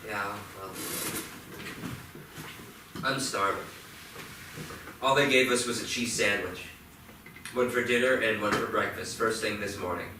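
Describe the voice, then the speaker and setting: A man's voice: on the low side, unhurried, pauses often, lightly acted. An actor playing a sullen, defensive teenage boy in a staged play reading. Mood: sullen, tired. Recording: echoey theatre, distant mic, clear noise.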